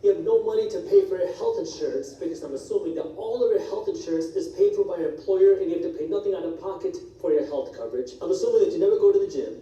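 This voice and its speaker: Brief: man, Punjabi first language, finance explainer, high and dark-toned, replayed through hall speakers, distant. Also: sing-song, highs cut off. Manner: brisk, direct, walks through numbers. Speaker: personal finance educator. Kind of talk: scripted explainer video. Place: played on speakers in a church hall. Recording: video replayed in an echoey hall, heard from afar.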